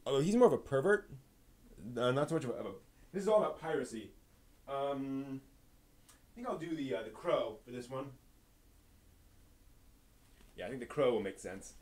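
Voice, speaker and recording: Male streamer, medium pitch, casual and off-the-cuff, halting as he thinks aloud. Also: animated, darkish tone. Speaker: live stream host. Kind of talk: casual, improvised live stream chat.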